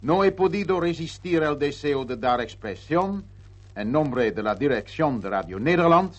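A man with a noticeably Dutch accent reads a formal tribute in Spanish at an unhurried pace. His tone is measured and ceremonious throughout. His voice sits at an ordinary middle pitch. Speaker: radio program director. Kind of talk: formal prepared speech.

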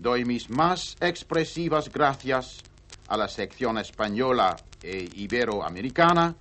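A man with a noticeably Dutch accent reads a formal tribute in Spanish at an unhurried pace. His tone is measured and ceremonious throughout. His voice sits at an ordinary middle pitch. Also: lively intonation, fairly bright tone. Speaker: radio program director. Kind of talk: formal prepared speech.

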